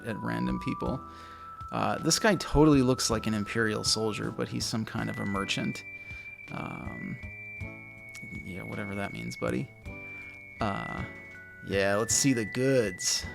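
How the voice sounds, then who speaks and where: A man with a naturally low voice, casually commentating a video game he loves, a little mumbly and self-deprecating. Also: creaky. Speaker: let's play host.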